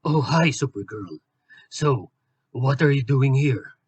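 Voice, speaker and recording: A man plays a brooding superhero who is sheepish underneath. He speaks slowly in a halting deadpan, with a close, dry sound, as in a comic toy skit. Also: mid-range voice, husky, dry recording, exaggerated voice. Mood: awkward, deadpan. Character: Batman, brooding adult male superhero, awkward and sheepish.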